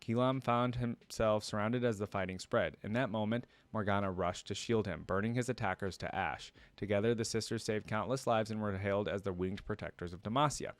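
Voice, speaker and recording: A man's voice: on the low side, dry recording. A man hosting a reaction, switching between reading a story aloud and informal commentary where he thinks aloud. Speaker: reaction video host.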